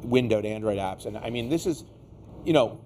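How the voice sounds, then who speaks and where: A man's voice: lowish voice, lively intonation, normal speed, warm tone, a little hiss or hum. A man hosting a casual, unscripted tech discussion, rambling and exasperated, tired and getting sick. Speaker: tech show host.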